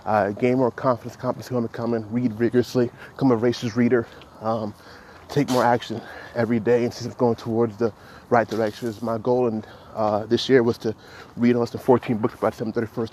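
A man in his thirties muses through a solo motivational podcast, his mid-pitched voice staying flat and steady. He stumbles, restarts and fills gaps as he thinks aloud, with a faint hiss of noise behind him. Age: 30s. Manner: halting, reflective, many restarts and fillers. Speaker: podcast host.

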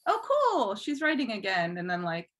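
A woman talks as a guest in a relaxed interview. She is chatty and informal, with a self-deprecating way of explaining herself. Her pitch sits in an ordinary middle range for a woman. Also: moderate pace. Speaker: podcast guest, fan fiction writer. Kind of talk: casual conversational interview.

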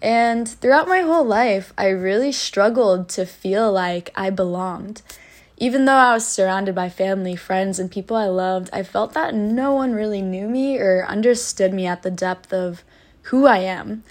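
A young woman in her twenties talks into her podcast mic in a soft, reflective way. She speaks with earnest warmth and spiritual phrasing, her voice sitting in a middle register. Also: medium pitch, normal speed. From United States. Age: 20s. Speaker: podcast host. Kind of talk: personal storytelling monologue.

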